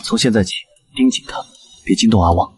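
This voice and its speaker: Man as a husky, scheming imperial prince. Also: fairly low pitch, fairly quick, a little mellow. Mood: cold, commanding. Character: scheming, sickly, coldly calculating male imperial prince. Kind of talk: dubbed animated historical drama.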